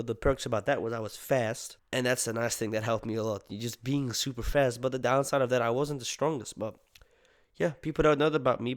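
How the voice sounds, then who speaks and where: A man's voice: medium pitch, no echo, studio quality. A man in his twenties from the Netherlands, talking casually and at length to his viewers, slangy and swearing now and then. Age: 20s. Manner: rambling, slangy, swears, talks straight to the audience. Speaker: podcast host. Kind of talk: casual solo monologue to viewers.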